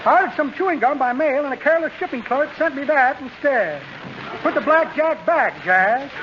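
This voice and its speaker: A genial shady rogue with a very high, swooping voice. He delivers florid wisecracks in theatrical 1940s radio comedy style, heard on a noisy old recording with a narrow, dull sound. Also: expressive, normal speed. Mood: breezy, sly.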